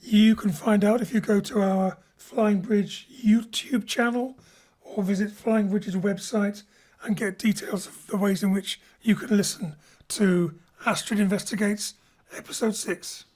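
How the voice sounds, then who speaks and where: A woman's voice: mid-range voice, fairly even intonation, unhurried, darkish tone. A woman director hosting an informal post-show talk about an audio drama series. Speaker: director and host. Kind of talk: hosted post-show chat.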